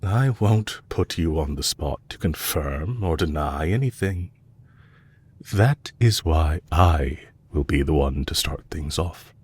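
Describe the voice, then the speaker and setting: A man playing a composed, courteous villain leader in an audio roleplay, speaking in a measured, formal way to a captive he is fond of. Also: rumbling low, animated, husky, heavily acted. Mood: gentle, coaxing.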